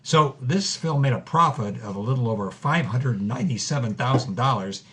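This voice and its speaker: An actor playing an earnest, inquisitive interviewer who lays out facts precisely and methodically in a dramatised interview. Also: male voice, medium pitch, lively intonation, normal speed, fairly bright tone, lightly acted. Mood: probing, methodical. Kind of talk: dramatised interview, measured exposition.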